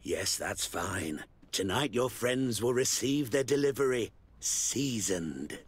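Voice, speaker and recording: A man playing a sly, wary, opportunistic brothel keeper with a rough, husky voice in a video game. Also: fairly low pitch, expressive, slow pace, exaggerated voice. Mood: wary, bargaining. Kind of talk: video game cutscene acting.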